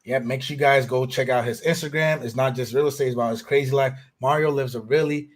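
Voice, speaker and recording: A man's voice: mid-range voice, fairly even intonation, fast. A man hosting a live stream chat over a video call, laid-back and agreeable. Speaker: host.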